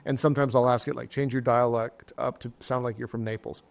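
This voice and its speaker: A man's voice: mid-range voice, steady tone, fairly quick, gravelly. A middle-aged man hosting an interview in a chatty way, often repeating words as he starts a thought. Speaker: podcast host. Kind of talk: casual interview talk.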